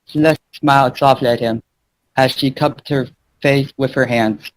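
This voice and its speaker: A man's voice: mid-range voice, fairly even intonation, a little slow, poor audio. A man hosting and narrating a fan fiction as an amateur reader, casual and occasionally stumbling over words. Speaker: channel host and story narrator.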